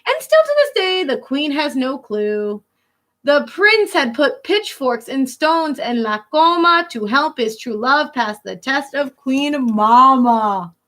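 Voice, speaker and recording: Female schoolteacher for picture-book read-aloud; bright tone, unhurried delivery, teacherly explanatory asides. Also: high voice, very animated. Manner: teacherly, stops to translate and explain words simply. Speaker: elementary school teacher reading aloud to pupils.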